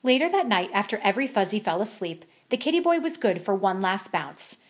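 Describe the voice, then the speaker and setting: A woman's voice: medium pitch, big pitch swings, fairly quick. A woman reading a children's picture book aloud as a storyteller.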